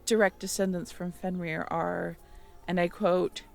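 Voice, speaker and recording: A woman acting a caring, teasing, curious young girlfriend in an intimate roleplay audio drama, talking softly and conversationally to the listener. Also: on the low side, level tone, measured pace, vocal fry, soft background music. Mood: curious, focused. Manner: soft, intimate, conversational, playful.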